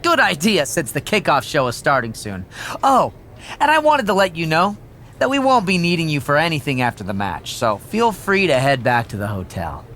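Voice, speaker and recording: An actor playing an eager young associate producer who is overly chummy and slightly condescending. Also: male voice, a little high, big pitch swings, moderate pace, a bit bright, slight background noise.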